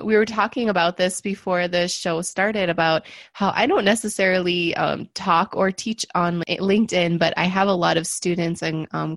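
A woman's voice: lowish voice, no echo. Eager woman podcast host, dry sound. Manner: eager, conversational, with frequent um fillers. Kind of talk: casual interview hosting.

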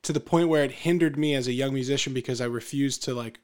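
A man's voice: mid-range voice, fast talker. A man co-hosting a podcast, talking animatedly in casual conversation and often restarting his phrases. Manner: animated, repeats himself while searching for words. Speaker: podcast co-host.

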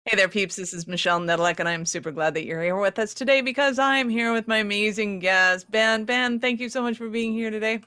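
A woman's voice: medium pitch, fairly even intonation, a little fast, hardly any pauses, sharp tone. A woman hosting a business podcast, enthusiastic and bubbly as she chats with her guest. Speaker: podcast host. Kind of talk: upbeat interview chat.

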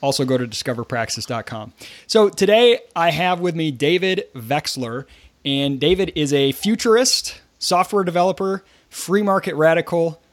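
A man's voice: on the high side, animated, normal speed, dry recording. A man hosting an interview podcast, talking casually with plenty of fillers and restarts as he introduces his guest and asks questions. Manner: chatty, with frequent restarts and fillers. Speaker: podcast host.

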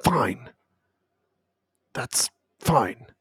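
A man's voice: mid-range voice, big pitch swings, drawn-out words, fairly bright tone, slightly rough, dry recording, studio quality, exaggerated voice. A man voicing a pompous, lecherous older male general who talks in a fussy, smarmy way and keeps saying "yes" and "my dear". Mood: huffy.

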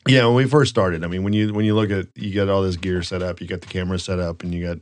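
A man's voice: low voice, fairly even intonation, quick pace. An American man chatting casually as a podcast host, rambling and joking as he tells stories. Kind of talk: casual chat and storytelling.

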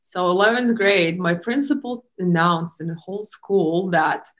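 A woman's voice: lowish voice, unhurried, a bit bright. A woman from eastern Ukraine, a native Russian speaker with a Russian accent, talking casually as a podcast guest. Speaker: podcast guest, blogger and model. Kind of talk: casual interview talk.

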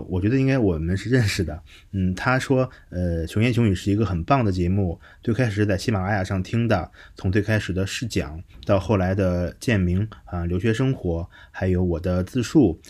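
A man hosting a podcast in a casual, conversational way, pausing now and then as he thinks through his words. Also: fairly low pitch, darkish tone. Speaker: podcast host. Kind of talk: casual podcast hosting, reading listener messages.

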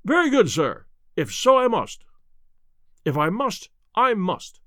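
A man's voice: high-pitched, huge pitch swings, slow pace, fairly bright tone, no echo, studio quality, pitched above the performer's own voice, exaggerated voice. A man voicing a frightened, evasive butler in an audiobook, stammering, pleading and deferential. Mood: defiant. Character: frightened, evasive male butler hiding a secret. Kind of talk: audiobook character acting.

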